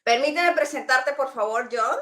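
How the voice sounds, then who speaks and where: A woman's voice: high voice, moderate pace, a bit bright. A woman hosting a business talk show, greeting the audience and introducing her guest in a friendly way, with hesitant restarts. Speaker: host.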